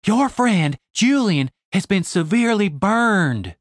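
A man voicing a sleepless, blunt young woman who shouts in exasperation. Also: high voice, sing-song, moderate pace, dry recording, studio quality, pitched above the performer's own voice. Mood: shouting.

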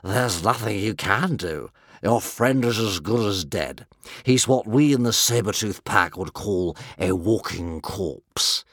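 A man voicing a big sardonic dragon who drawls slowly and mockingly. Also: lowish voice, animated, a bit bright, dry recording, studio quality, exaggerated voice. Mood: gloomy relish. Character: big sardonic gloating male sabre-toothed dragon.

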